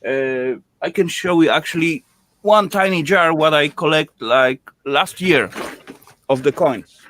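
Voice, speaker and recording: A man chatting casually on a stream in halting English with odd grammar. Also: fairly high pitch, fairly bright tone, a little hiss or hum. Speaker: guest on a stream chat. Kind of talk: casual online chat.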